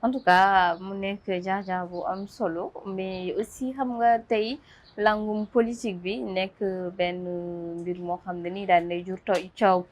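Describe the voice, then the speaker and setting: A woman, an interview host who talks in a formal, welcoming way with frequent fillers and acknowledgements. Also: mid-range voice. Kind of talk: interview hosting mixing Wolof and French.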